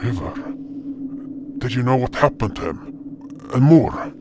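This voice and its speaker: A man voicing an earnest fantasy guardian creature, full of concern. His pitch swings widely while the pace stays slow and questioning, over clearly audible noise. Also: lively intonation. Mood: worried. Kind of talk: fantasy audio drama acting. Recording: noisy hobby recording.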